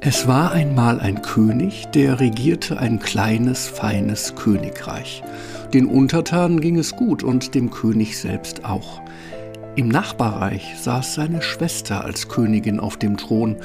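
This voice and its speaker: A man's voice: medium pitch, animated, takes it slowly, creaky, over music. A man narrating a scripted fairy tale as the storyteller of a radio play.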